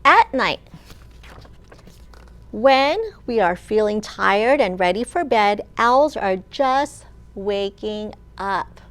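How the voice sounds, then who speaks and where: A woman children's librarian reading a picture book aloud to young children, sing-song, with questions and pauses for them to answer. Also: medium pitch, lively intonation, fairly bright tone. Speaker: children's librarian and storytime host.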